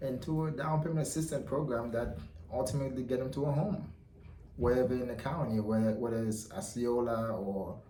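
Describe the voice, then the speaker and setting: A man answering interview questions in a confident, relaxed way. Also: mid-range voice, a little fast, slight background noise. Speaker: guest, mortgage company founder. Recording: echoey room with faint ambience.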